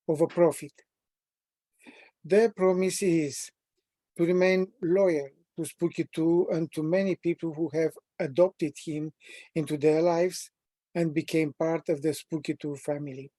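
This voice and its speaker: A man in his sixties from Romania, with a Romanian accent, presenting a training webinar at a measured, deliberate pace with frequent pauses. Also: fairly high pitch, steady tone, mellow, no room sound. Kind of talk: prepared self-introduction in a training webinar.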